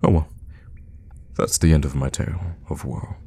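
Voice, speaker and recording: A man playing a suave, teasing, sadistic vampire lord in an ASMR roleplay, speaking in an unhurried, theatrical and menacing way with a gravelly voice. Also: fairly even intonation, warm tone, exaggerated voice. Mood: wry, wistful. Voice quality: very deep, gravelly. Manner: unhurried, theatrical, with drops into whispers. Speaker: voice actor in an audio roleplay.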